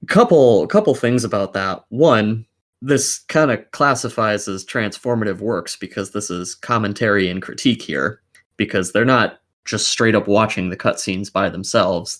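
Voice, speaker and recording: A man's voice: fairly low pitch, a bit bright. A man co-hosting a casual podcast, giving opinionated commentary in a blunt, sweary, argumentative way. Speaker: podcast co-host.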